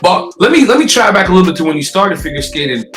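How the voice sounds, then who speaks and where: Animated high-pitched male host, effusive. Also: very fast, roomy sound, faint music behind. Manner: effusive, exuberant hype-man delivery. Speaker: talk show host interviewer. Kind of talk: animated celebrity interview.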